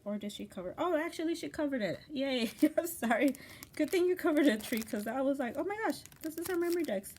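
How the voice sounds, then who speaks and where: A woman's voice: high-pitched, lively intonation, a little fast, a little mellow, a little hiss or hum. Chatty crafter woman with a tiring voice. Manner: gushing, chatty, restarts often. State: voice going hoarse, coughing. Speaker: crafting channel host. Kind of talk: casual craft mail unboxing.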